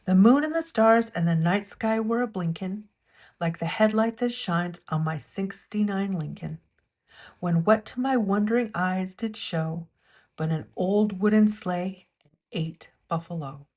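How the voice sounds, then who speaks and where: A woman reading a rhyming picture book aloud as a storyteller, with an expressive, measured storytime delivery. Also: medium pitch.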